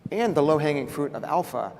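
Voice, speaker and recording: A man giving a measured, analytical answer as a panelist advising pension funds. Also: fairly high pitch, expressive, darkish tone, slight background noise. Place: large conference hall stage. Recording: long hall reverb, faint ambience.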